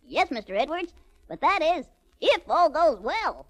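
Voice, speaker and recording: A tiny, eager vaudeville showman, speaks in a very high, childlike register. The cheerful little figure comes with music on a vintage radio drama recording. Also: sing-song, measured pace. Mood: agreeable. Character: small cheerful male midget vaudeville performer, high-voiced. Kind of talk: 1940s radio acting.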